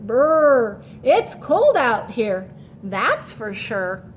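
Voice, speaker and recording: A chilly, cheerful storybook snowman, put on by a woman reading aloud. The voice is high and slow, with exclamations and drawn-out words, swinging widely in pitch. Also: very animated, slight background noise, heavily acted. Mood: shivering.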